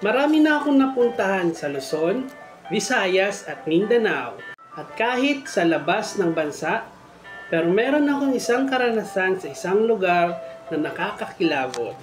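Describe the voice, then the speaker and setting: A man's voice: high-pitched, very animated, moderate pace, echoey room, poor audio. A man hosting a story show, reading listeners' horror tales aloud. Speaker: storytelling host. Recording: music bed behind the voice. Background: faint music.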